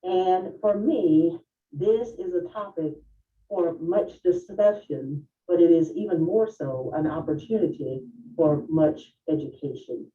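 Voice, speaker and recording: A woman of fifty-five moderating a panel in a formal, deliberate and courteous way. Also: lowish voice, warm tone. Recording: distant, roomy, dull lo-fi video sound.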